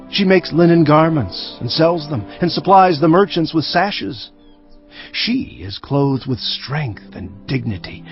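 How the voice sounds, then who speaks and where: Earnest sage giving counsel, deliberate scripture delivery, highish animated voice, lo-fi with soft music. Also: male voice. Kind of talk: dramatised scripture reading. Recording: dull lo-fi audio with background music.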